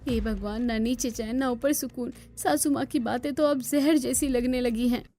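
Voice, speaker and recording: Cartoon daughter-in-law voiced by a woman: young, high, sharp-tongued, gossipy, music bed. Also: fairly even intonation, normal speed, lightly acted. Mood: exasperated. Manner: complaining, gossipy.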